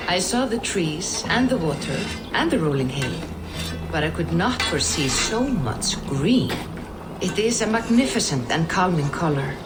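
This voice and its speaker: A wise norse seeress for a game, lowish voice, calm and mystical, measured poetic talk, music behind. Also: female voice, poor audio. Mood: serene, reflective. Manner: measured, poetic, formal phrasing. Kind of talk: dramatic video game acting.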